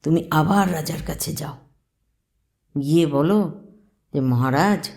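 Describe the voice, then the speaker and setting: A woman's voice: low voice, slow pace, darkish tone. Woman acting a clever, inquisitive jackal. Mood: advising. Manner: inquisitive, advising. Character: clever, inquisitive jackal who gives advice.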